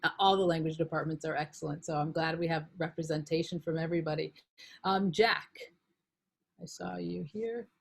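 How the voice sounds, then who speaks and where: Female panel moderator, slightly low voice, informal delivery. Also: fairly even intonation, moderate pace, vocal fry, slightly rough.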